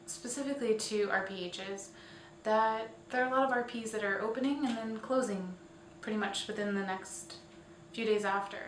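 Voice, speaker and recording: Female vlog host, informal and hesitant, mid-pitched, recorded away from the mic in an echoey room. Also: normal speed. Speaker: host of a weekly online show. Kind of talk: casual scripted-outline vlog commentary. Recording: roomy, distant mic, faint noise.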